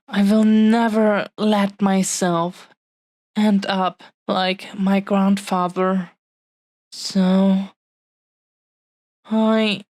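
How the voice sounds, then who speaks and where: A woman acts out a dragon woman in love, tender and possessive. She speaks extremely slowly at a middling female pitch, halting between short, heartfelt phrases. It is an intimate romantic monologue from an audio roleplay. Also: warm tone.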